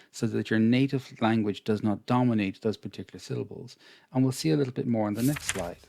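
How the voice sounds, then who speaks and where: Irish guy teaching english over slides, patient n measured, soft dark tone, really dry recording. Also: medium pitch, gravelly, no room sound. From Ireland. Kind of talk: explanatory lesson over slides.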